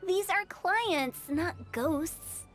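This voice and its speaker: A woman's voice: very high-pitched, expressive, extremely slow, heavily acted. A woman voicing an impish young female funeral parlor director who teases with macabre humor, with game music behind. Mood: playful. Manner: playful, teasing, macabre humor. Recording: game audio with background music.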